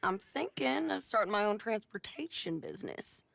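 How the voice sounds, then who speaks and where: Gravelly, brash woman bounty hunter. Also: mid-range voice, very animated, normal speed, fairly bright tone, dry recording, full character voice. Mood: brash, persuasive. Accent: American Western. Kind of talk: video game acting.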